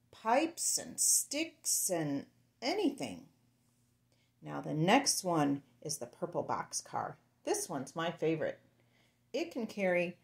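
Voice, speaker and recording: A woman storyteller reads a picture book to little children at an unhurried pace. Her pitch moves around in a lively, sing-song way. She talks straight to her young listeners in a playful manner.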